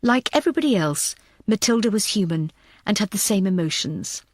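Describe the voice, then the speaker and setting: A woman's voice: medium pitch, lively intonation, fairly quick, dry recording, clean studio sound. A woman reading scripted narration for a thriller audiobook.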